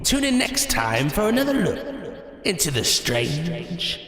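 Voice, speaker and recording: An actor playing a theatrical, eerie horror-anthology host, with a grandiose, drawn-out delivery. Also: male voice, fairly high pitch, huge pitch swings, crowd noise, heavily acted. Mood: ominous, philosophical. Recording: reverberant hall effect with music.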